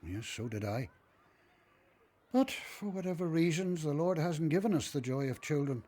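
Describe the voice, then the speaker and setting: An actor playing an elderly temple priest in a radio play, anxious and devoted, with an earnest, tender way of talking. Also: male voice, a little high, lively intonation, moderate pace, dark tone. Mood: tender, resigned.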